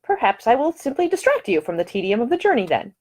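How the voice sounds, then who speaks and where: A woman's voice: a little high, sing-song, fast, darkish tone, voice pushed up. A woman voicing a cheerful, talkative, well-bred young Englishwoman traveller in an audiobook, eager and solicitous, with an upper-class English accent. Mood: bright, chatty.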